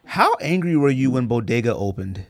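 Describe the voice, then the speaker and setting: A man's voice: medium pitch, big pitch swings, moderate pace, no echo, studio quality. A man from Arizona hosting a podcast, chatting casually in a rambling, conversational way as he interviews. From United States, Arizona. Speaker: podcast host.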